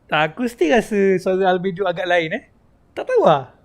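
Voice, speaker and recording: A Malaysian woman, native in Malay, livestreams a game in a somewhat low voice. Her commentary is casual and informal, with a teasing, chatty way of talking and a clear Malaysian accent.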